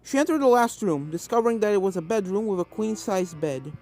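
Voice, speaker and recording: A woman's voice: mid-range voice, lively intonation, fairly quick, warm tone. A woman reading a fan fiction story as a scripted narrator. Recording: game music under the voice.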